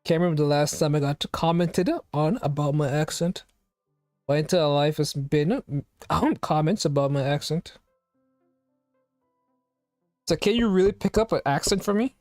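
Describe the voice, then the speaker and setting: A streamer talking in a rambling, chatty way at mid pitch, throwing question after question at viewers. The sound is close and dry, with faint game music underneath. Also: moderate pace, a little mellow, dry recording. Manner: rambling, talks to chat with many questions. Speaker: live streamer. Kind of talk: casual live-stream chatter. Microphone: home streaming microphone.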